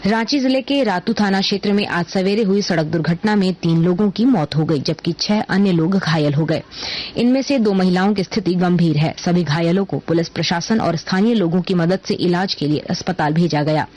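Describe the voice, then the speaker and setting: A woman reading a scripted radio news bulletin in a formal, measured announcer's manner. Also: lowish voice, darkish tone.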